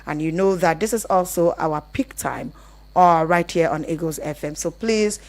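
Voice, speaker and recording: A Nigerian woman with a fairly low voice hosts a radio advice show. She speaks conversationally, explaining and rephrasing as she addresses listeners and callers. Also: normal speed. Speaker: radio call-in show host.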